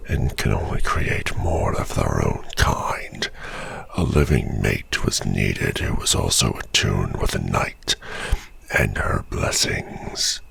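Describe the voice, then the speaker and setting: A man playing a gruff, defiant werewolf clan veteran in a fantasy audio roleplay, with a growling voice and formal, deliberate speech. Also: bright, forceful tone, full character voice. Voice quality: very deep, gravelly, growling.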